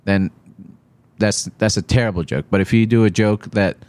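A man from Iraq talking casually as a comedian guest on a podcast, halting and informal, with some swearing. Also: fairly low pitch, steady tone, darkish tone, dry recording.

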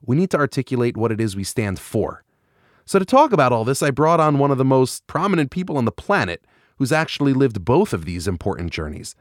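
A man hosting an interview podcast, speaking articulately with enthusiastic, lively emphasis. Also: medium pitch, fairly quick, no room sound, clean studio sound. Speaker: podcast host.

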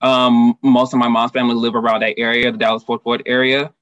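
A man's voice: medium pitch, flat delivery, fairly quick, a bit bright, dry recording. A man in his twenties from Houston, Texas, giving a personal account as a panel guest. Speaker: panel guest, marketing manager. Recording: dull, slightly lo-fi sound.

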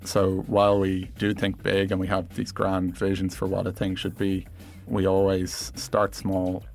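A man being interviewed, explaining his work casually in thoughtful, halting phrases. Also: low voice, monotone, creaky, faint music behind. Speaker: engineering executive being interviewed. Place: podcast studio.

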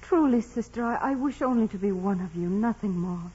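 A meek, devout young peasant woman with a very breathy, veiled voice at a medium pitch. She is earnest and deferential, in the style of a 1940s radio play. The recording is dull and old. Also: a little fast, darkish tone. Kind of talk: 1940s radio drama acting.

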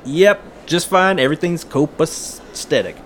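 A man's voice: fairly high pitch, measured pace, a bit bright. A man acting in an audio drama as an eager, chummy metal detector club leader who talks in mock-official radio jargon. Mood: nervous, persuading. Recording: clear background noise.

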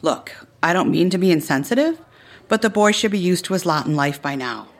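A woman's voice: lowish voice, expressive, a little fast. A middle-aged woman playing a frank, concerned family friend in a naturalistic audio drama. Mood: concerned, blunt. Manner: plainspoken, frank. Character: husky older female family friend, concerned, blunt.

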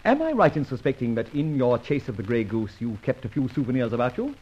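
An actor playing a suave, playful, self-assured gentleman adventurer in an old-time radio drama, with theatrical, teasing flourishes. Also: mid-range voice, big pitch swings, fast, husky. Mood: smug, explanatory. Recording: dull vintage radio recording.

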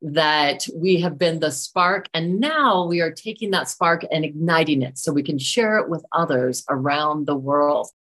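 A woman's voice: low voice, unhurried, few pauses, fairly bright tone. Enthusiastic woman hosting an interview. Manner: enthusiastic, effusive. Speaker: podcast host.

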